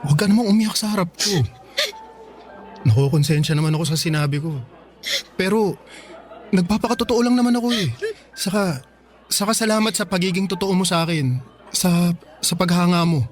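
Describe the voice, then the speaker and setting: An actor playing a kind but frank young man in a Filipino radio drama, gentle and candid with a Filipino accent. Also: high voice, normal speed, pauses often, faint music behind, lightly acted. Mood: guilty, placating.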